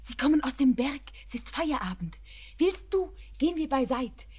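An actress playing a tender, dreamy young granddaughter in a poetic radio drama. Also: female voice, high voice, big pitch swings, moderate pace, darkish tone. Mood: dreamy, eager. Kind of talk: poetic German radio play acting.

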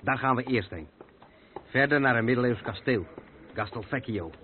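A man's voice: medium pitch, moderate pace, close to an everyday voice. A man acting in a radio play as an experienced, practical and uneasy truck driver. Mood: matter-of-fact. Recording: noisy phone-like band-limited old radio play recording.

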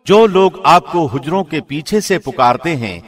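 A man's voice: on the high side, lively intonation, soft background music. A man reading a scripture translation from a script, in the solemn style of a radio presenter.

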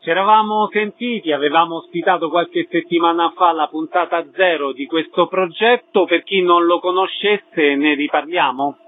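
Male radio interviewer, somewhat high voice, question-and-introduction delivery, thin telephone-like sound. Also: moderate pace, hardly any pauses. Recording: narrow, tinny phone-like sound.

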